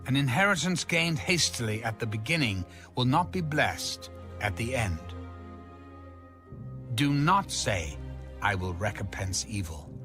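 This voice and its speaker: A man reading scripture aloud in a solemn, measured, declamatory style with music behind him. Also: medium pitch, expressive, bright, forceful tone. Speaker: Bible reader.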